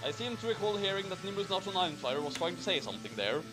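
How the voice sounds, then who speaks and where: A man's voice: high-pitched, very animated, fast. A man doing a casual comic read-along with friends, with a theatrical, exaggerated delivery. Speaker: host reading a fan story aloud with friends. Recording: music behind the voice. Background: background music.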